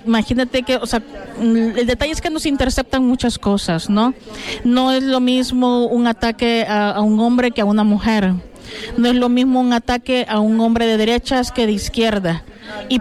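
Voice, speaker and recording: Middle-aged woman from El Salvador, councillor being interviewed; earnest, halting delivery, mid-range pitch. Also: darkish tone. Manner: earnest, hesitant with many fillers and restarts. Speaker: city councillor. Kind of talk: interview answers.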